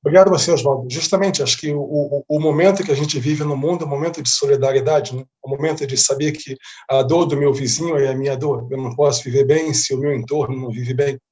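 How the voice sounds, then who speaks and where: A man's voice: mid-range voice, fairly even intonation, fast talker, darkish tone. A man with a Brazilian accent answering as an interview guest in an earnest, conversational way, repeating phrases for emphasis. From born in Rio de Janeiro, Brazil, feels Bahian. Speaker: interview guest, humanitarian diplomat.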